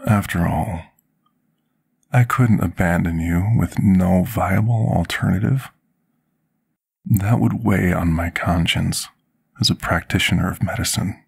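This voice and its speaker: A man playing a devoted, apologetic potion master in a fantasy ASMR roleplay, speaking in a formal, measured, deferential way with a husky, gravelly voice. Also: low voice, heavily acted. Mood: devoted, earnest. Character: devoted, apologetic male potion master tending a dragon patient.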